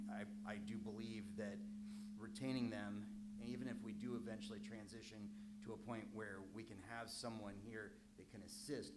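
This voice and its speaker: A man serving as mayor, explaining a contract at a council meeting in a measured, halting way with many ums. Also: lowish voice. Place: council chamber. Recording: loud background hum.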